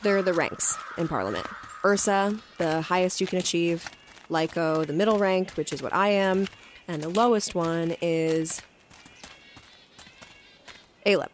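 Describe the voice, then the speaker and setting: A woman's voice: fairly low pitch, unhurried, long pauses, slight background noise, lightly acted. An actress playing a guarded, anxious woman field agent who narrates her story in an audio drama. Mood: matter-of-fact explaining. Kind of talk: audio drama acting with first-person narration. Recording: music under the voice.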